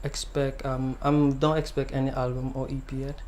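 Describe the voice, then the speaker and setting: A young man from the Gambia, a singer-songwriter, answering interview questions softly and hesitantly, with many pauses and ums. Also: mid-range voice, flat delivery, a little hiss or hum.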